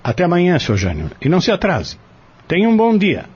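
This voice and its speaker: A man playing a secretive peddler in a Brazilian audio drama, speaking in a measured, formal, confiding way. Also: high-pitched, wildly swooping pitch, a little mellow, breathy edge, full character voice. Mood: courteous, cautious. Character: secretive male peddler guarding a dead friend's secret. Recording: dull lo-fi audio drama with music.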